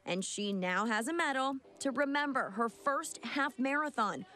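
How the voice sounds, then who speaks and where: A woman's voice: high voice, lively intonation, moderate pace, pushed and bright. A woman television news reporter delivering scripted narration.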